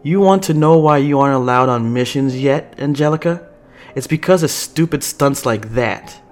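A man voicing a stern, protective swordswoman and mentor who speaks with forceful care in a story. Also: mid-range voice, steady tone, a little slow, soft background music. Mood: scolding.

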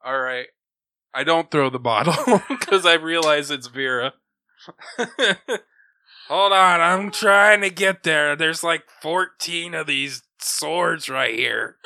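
A man's voice: fairly high pitch, slow pace, creaky, sharp tone, slight background noise. A man playing a tabletop game, chatting casually and crudely as he joking describes what his character does.

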